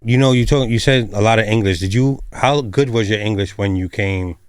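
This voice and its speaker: Low-pitched man hosting a casual interview. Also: a little fast, clear, resonant tone, no echo. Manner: informal, slangy, encouraging. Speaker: podcast host interviewing a musician.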